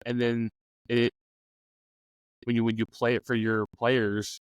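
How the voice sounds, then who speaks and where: A man's voice: mid-range voice, little pitch movement, unhurried, clear, resonant tone, no room sound. A man talking casually in a loose, informal way, thinking aloud as he offers advice in a discussion. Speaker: discussion panelist on a game master advice show. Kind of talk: casual chat.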